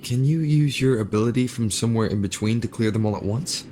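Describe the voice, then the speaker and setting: An actor playing a calm young male wanderer in a video game, steady and supportive, with a cool, understated manner. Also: mid-range voice, fairly quick, a little hiss or hum, natural voice. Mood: calm, considerate.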